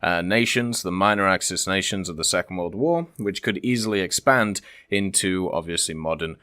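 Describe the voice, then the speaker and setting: Low-voiced man doing casual gaming commentary. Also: normal speed, bright, forceful tone, dry recording, studio quality. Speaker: gaming channel host and commentator. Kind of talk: casual video commentary, reading and discussing developer Q&A.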